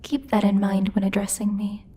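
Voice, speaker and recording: A woman's voice: mid-range voice, monotone, moderate pace, mellow, breathy edge, close to an everyday voice. A woman playing a bossy, haughty demon lord who teases and mocks imperiously in a theatrical ASMR roleplay. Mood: haughty, commanding. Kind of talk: ASMR roleplay, scripted character acting.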